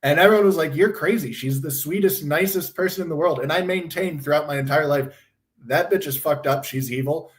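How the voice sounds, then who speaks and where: A man's voice: on the high side, brisk. A young man hosting a casual livestream. He talks bluntly, rambles, swears freely, and is losing his voice from a sore throat. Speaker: livestream host.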